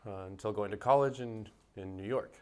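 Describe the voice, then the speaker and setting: Thoughtful man with a smooth voice. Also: lowish voice, fairly quick. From United States (Seattle). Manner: thoughtful, many fillers and restarts. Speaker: interviewee, musician. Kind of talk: relaxed interview answers.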